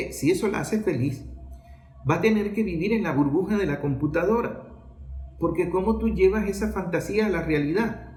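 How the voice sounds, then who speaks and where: A man with a Venezuelan accent giving political commentary as a speech, posing rhetorical questions and answering them. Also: sits high. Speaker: journalist giving a political talk. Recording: echoey room.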